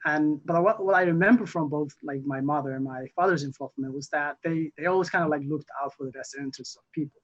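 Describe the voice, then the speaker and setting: A young man from Somalia, a newly elected council member, answering interview questions haltingly with many fillers and restarts. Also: fairly high pitch. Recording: muffled lo-fi audio.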